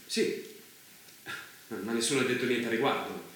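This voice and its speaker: Actor as a guarded interviewer in heavy echo. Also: male voice, medium pitch, moderate pace, fairly bright tone, close to an everyday voice. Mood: guarded, polite. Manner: terse, deferential yet insistent. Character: guarded, persistent male interviewer confronting a killer. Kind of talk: naturalistic theatre dialogue. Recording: very echoey room, faint noise.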